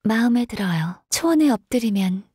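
Game casting: gentle, dutiful young secretary, softly polite and hesitant, lightly high voice, breathy, very dry recording. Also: female voice, animated, moderate pace, no room sound. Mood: calm, earnest. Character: gentle, diligent, shy young woman secretary, half-adeptus. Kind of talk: video game character voice lines.

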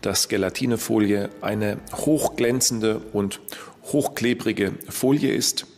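A man speaking as a forensic expert, explaining technical matters in measured, halting phrases. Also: on the low side, a little mellow. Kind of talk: explanatory interview statement. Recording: reverberant room, faint music. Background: faint music.

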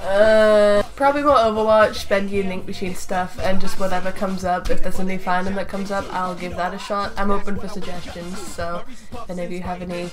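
A woman in her twenties talks to her viewers in a casual, joking way with the odd swear word. Her voice sits at a middle pitch. Music plays clearly behind her as she sits in a small bedroom. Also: steady tone, brisk, rough recording. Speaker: YouTube host and voice actor. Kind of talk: casual chatty Q&A vlog. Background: background music.

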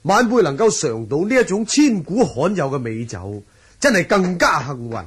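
A man's voice: on the high side, very animated, moderate pace, a bit bright, breathy edge, heavily acted. A man acting a respectful, humble young swordsman in a Cantonese radio drama.

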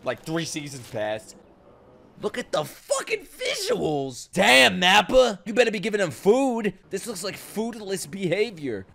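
A young man giving casual, excitable commentary as he reacts to an anime, swearing freely and breaking into shouts and laughter. He talks into a boom-mounted desk mic at home. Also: measured pace, vocal fry. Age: young adult. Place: home streaming room. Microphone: boom-mounted desk mic, wearing headphones.

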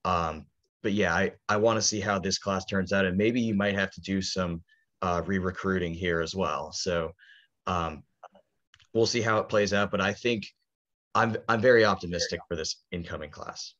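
A young man on a video call giving his view in an earnest, measured way, with some "um" fillers. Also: sits low, fairly even intonation, fairly bright tone. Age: young adult. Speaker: podcast panelist. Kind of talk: casual chat. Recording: dull lo-fi call audio.

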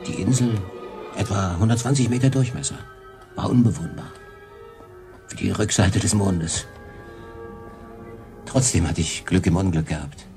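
Very deep-voiced shipwrecked sailor. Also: male voice, normal speed, pauses often. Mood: grim recollection. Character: shipwrecked male solo sailor recounting his ordeal, brooding and weary. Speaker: narrator and main character. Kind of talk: German radio drama monologue narration. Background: music.

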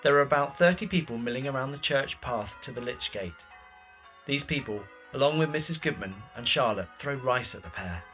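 A man's voice: mid-range voice, animated, a little fast, pushed and bright, soft background music. A man narrating scripted stage directions for an audio drama.

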